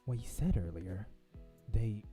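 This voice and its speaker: A man voicing a shy, reluctant male high school senior in a visual novel, husky and laconic. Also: unhurried, dark tone, lightly acted. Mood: reserved, questioning. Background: background game music.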